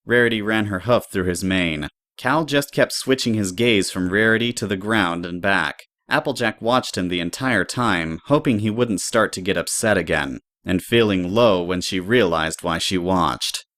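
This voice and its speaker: A woman's voice: rumbling low, normal speed, few pauses, fairly bright tone, no echo, clean studio sound. A woman narrator reading scripted story prose for an audio drama.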